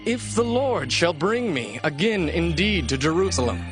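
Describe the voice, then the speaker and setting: A man playing an ambitious, smooth-talking young prince in a dramatized Bible recording, with fervent, declamatory delivery. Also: on the high side, very animated, moderate pace, over music. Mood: pleading. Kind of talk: dramatized Bible audio acting.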